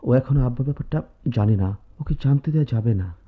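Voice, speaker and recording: An actor in a radio drama playing a troubled, despairing young student in love, downcast and confiding. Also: male voice, mid-range voice, expressive, quick pace, lightly acted. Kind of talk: Bengali radio drama acting. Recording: tinny phone-like radio-play recording.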